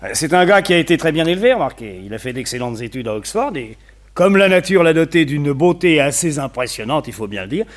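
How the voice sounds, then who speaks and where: An actor playing a well-connected, opinionated society gentleman in a French radio detective play, talkative, confiding and wryly gossipy. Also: fairly high pitch, animated, fast talker, close to an everyday voice. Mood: confiding, briefing.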